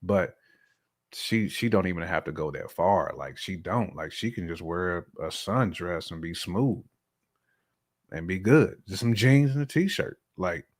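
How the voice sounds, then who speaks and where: American guy w a low voice chatting on a podcast, slangy, repeats stuff to drive the point. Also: animated, unhurried. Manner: colloquial, slangy, emphatic repetition. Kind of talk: casual podcast conversation.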